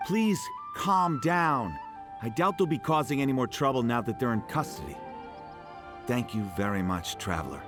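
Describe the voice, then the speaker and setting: Man playing earnest video game soldier, reassuring, courteous, formal, wide pitch movement. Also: animated, moderate pace, over music, close to an everyday voice. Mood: calm, courteous. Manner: polite, formal. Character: earnest, courteous male town guard, dutiful and reassuring. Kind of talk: video game story dialogue.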